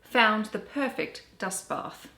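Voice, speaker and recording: An Australian woman reading children's storybooks aloud in an animated, deliberate storytelling way. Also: mid-range voice, gravelly. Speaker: children's story reader and book vlogger. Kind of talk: expressive storybook read-aloud. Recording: roomy, echoey home recording.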